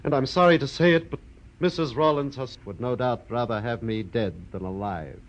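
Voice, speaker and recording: A man's voice: mid-range voice, moderate pace, lightly acted. Man playing an anxious businessman, dull old recording. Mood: worried, pleading. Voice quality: smoker's coughs breaking into speech. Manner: agitated, earnest, clipped pleading. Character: anxious, fussy businessman who fears for his life. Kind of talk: 1940s American radio drama acting.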